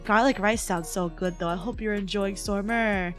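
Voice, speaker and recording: A woman livestreamer with a hoarse, cracking voice, chatting casually with her viewers in a playful, giggly manner. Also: mid-range voice, fairly even intonation, moderate pace, fairly bright tone. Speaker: livestream host, VTuber. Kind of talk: casual livestream chat, reading and answering chat messages. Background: background music.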